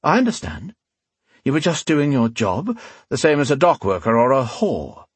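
A man voicing a wry, quietly threatening rogue in an audiobook, unhurried and mock-polite. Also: mid-range voice, sing-song, slightly rough, dry recording, voice pushed up. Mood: quiet threat. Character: cool, menacing male rogue with dagger, wryly amused. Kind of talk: dramatic audiobook character voice.

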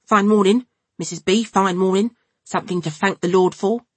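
In an audiobook, a pompous young preacher voiced by a woman drones through his nose in a put-on refined Cockney. Each word is slowly and painfully enunciated, and his tone is sanctimonious. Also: medium pitch, husky, no echo, pitched above the performer's own voice, close to an everyday voice. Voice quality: nasal. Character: pompous young male preacher, slow and nasal.

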